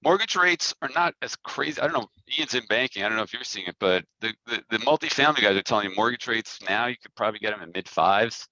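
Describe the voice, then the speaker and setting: A man talking informally on a business conference call, halting as he repeats and rephrases his words. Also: lowish voice, lively intonation, bright, forceful tone, no room sound, dull audio. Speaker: company head answering investor questions.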